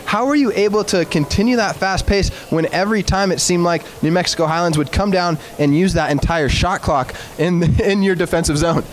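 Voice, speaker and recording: A man's voice: high voice, brisk, hardly any pauses, a little hiss or hum. A young man interviewing a coach after a game in an eager, upbeat way with frequent fillers. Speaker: sports interviewer. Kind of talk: postgame interview.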